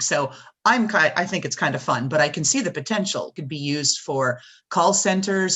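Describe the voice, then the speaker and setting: A woman's voice: sits low, fairly bright tone, breathy edge. A Canadian woman hosting an interview, upbeat and enthusiastic as she asks her questions. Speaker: podcast host.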